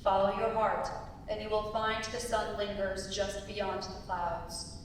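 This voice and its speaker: Woman playing solemn, flat-toned goddess, echoey hall. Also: lightly acted. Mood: solemn, consoling. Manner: measured, solemn, even. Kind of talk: dramatic stage reading. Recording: very echoey hall, distant mic, rumbly noise.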